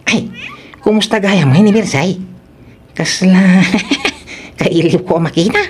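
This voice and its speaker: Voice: mid-range voice, slow, a little hiss or hum, natural voice. A performer with an Ilocano accent playing a lazy, boastful, lovestruck grown son, cheeky and whiny, in a comedy radio drama. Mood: smitten.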